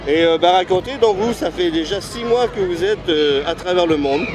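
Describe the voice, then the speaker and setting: A man's voice: high-pitched, moderate pace. A man hosting a casual travel interview, eager and laughing often, with many fillers and false starts. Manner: hesitant, many fillers and restarts. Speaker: travel vlogger host. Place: busy city street outdoors. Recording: loud street crowd noise. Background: crowd and traffic.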